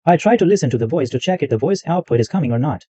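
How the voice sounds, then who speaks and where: A man talks through a software setup step by step, often repeating a phrase as he goes. His voice sits a bit high and swings around a lot, with a mellow tone. The recording is dry and a little dull and lo-fi. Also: animated, very fast, husky, no room sound. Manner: explains step by step, repeats himself. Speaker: tech tutorial host. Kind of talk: screen-recorded software walkthrough.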